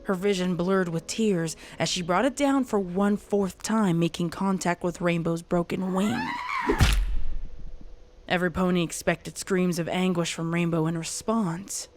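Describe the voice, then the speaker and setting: Low-pitched woman narrating a dark story. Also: unhurried, noisy background. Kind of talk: scripted dramatic story narration. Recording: music behind the voice.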